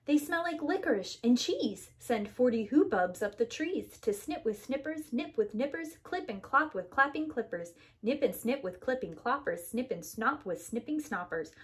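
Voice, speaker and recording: Expressive woman reading a children's storybook. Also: a little high, normal speed, few pauses. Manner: theatrical, emphatic storytelling for young children. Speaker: preschool teacher reading aloud. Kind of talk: expressive children's storybook read-aloud in rhyme.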